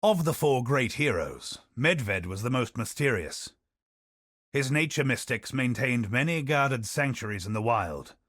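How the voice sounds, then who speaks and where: A grave and learned expedition captain in a video game, telling old lore in a measured, solemn way. His voice sits at a middle pitch and is recorded dry and close, with no room sound around it. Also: expressive, a bit bright, no room sound. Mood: steady. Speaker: game character.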